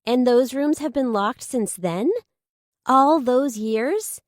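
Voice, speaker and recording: A woman voicing a nosy, excitable female friend in an audiobook, pushy and dramatic, with emphatic, eager questioning. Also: high-pitched, takes it slowly, dry recording, pitched above the performer's own voice. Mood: eager, incredulous. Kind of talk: audiobook character dialogue.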